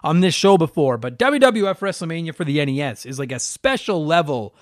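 A man's voice: a little high, animated, brisk, dry recording, studio quality. A man hosting a retro gaming podcast, talking casually with a stuffed-up nose, rambling and swearing with self-deprecating jokes. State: stuffed up from allergies. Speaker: podcast host.

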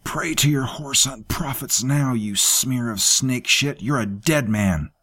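A fierce captive woman spits defiance through gasps, voiced by a male narrator. The voice is lifted in pitch and slowed. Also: a bit bright. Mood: defiant. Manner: spitting, gasping defiance.

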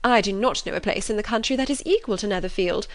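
A woman playing a swooping, high-pitched fussy mother. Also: sing-song, moderate pace. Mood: gushing. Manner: effusive, emphatic, swooping. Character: fussy, gushing middle-aged mother, easily offended. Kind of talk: audiobook character voice.

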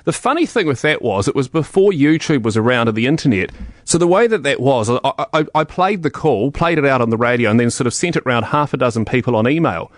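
A man's voice: medium pitch, expressive, fast talker, hardly any pauses, dry recording, clean studio sound. A man with a New Zealand accent chatting casually on the radio, patient, often restarting his phrases. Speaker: radio presenter, now interview guest.

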